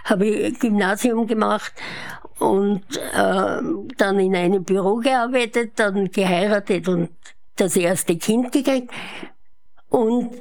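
An elderly woman in her eighties speaks with a Viennese Austrian accent. She recounts her own life as an interview guest, taking her time with a slow, measured pace. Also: mid-range voice, a little mellow. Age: 80s. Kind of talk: unhurried autobiographical storytelling. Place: her apartment living room.

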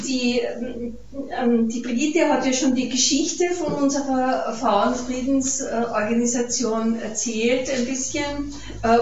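A woman from Carinthia with an Austrian accent gives an informal public lecture as a guest speaker, conversational and hesitant, with frequent pauses. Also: on the high side. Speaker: guest speaker, peace activist. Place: event hall, recorded from a distance. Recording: echoey room, distant muffled mic, noisy.

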